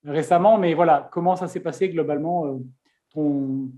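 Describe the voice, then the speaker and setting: A man's voice: fairly high pitch, moderate pace, mellow. A man hosting a casual interview, chatty, with many fillers and restarts. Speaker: host.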